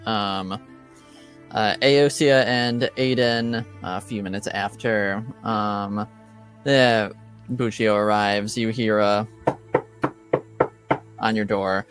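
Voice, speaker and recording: A man narrating an improvised tabletop game as game master, halting and hesitant. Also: lowish voice, steady tone, fairly bright tone, faint music behind. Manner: halting, hesitant, with long gaps.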